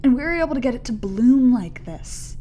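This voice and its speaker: A woman's voice: a little high, expressive, fairly quick, warm tone. A woman playing a meek, eager research assistant in an audio drama, earnest, hesitant and apologetic.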